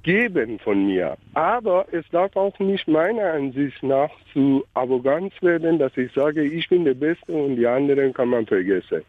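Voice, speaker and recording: A man from Iran with a Persian accent, calling in to a radio show and speaking in an earnest, halting way. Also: a little high, animated, dry recording. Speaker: caller. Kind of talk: radio call-in. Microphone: phone. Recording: phone line.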